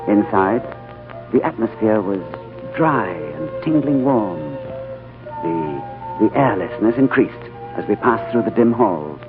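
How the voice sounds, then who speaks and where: Lively, low-voiced radio drama narrator. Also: male voice, slow pace. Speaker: lead actor and narrator. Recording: tinny old radio broadcast with music.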